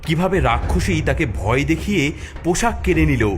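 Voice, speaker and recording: A man's voice: a little high, lively intonation, moderate pace. A man narrating a scripted fairy tale as storyteller. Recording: music behind the voice.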